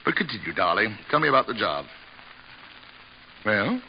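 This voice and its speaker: Pompous, swooping old stage actor. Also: male voice, on the low side, very animated, moderate pace. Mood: conciliatory. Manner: grandiose, theatrical, declamatory. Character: pompous, vain, hot-tempered old stage actor husband. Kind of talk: old-time radio situation comedy acting. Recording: muffled, hissy old-time radio recording.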